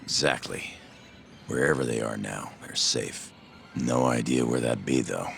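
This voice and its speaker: An actor playing a gruff, surly gangster in a video game, gravelly and curt. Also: male voice, a bass voice, fairly even intonation, measured pace, fairly bright tone, faint music behind, exaggerated voice. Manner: curt, grudging. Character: gruff, surly male yakuza underling. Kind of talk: video game cutscene acting.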